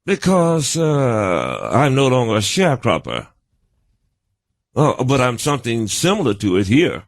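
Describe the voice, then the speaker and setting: An older American man answering as an interview guest, halting and wordy, with folksy turns of phrase. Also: mid-range voice, huge pitch swings, no room sound. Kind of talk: conversational, reminiscing interview answers.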